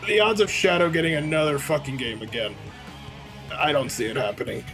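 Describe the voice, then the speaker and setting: A man's voice: a little high, very animated, brisk, music in the background. A man co-hosting a let's play, talking casually and bluntly in a rambling conversational way. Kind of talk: casual gaming commentary chat.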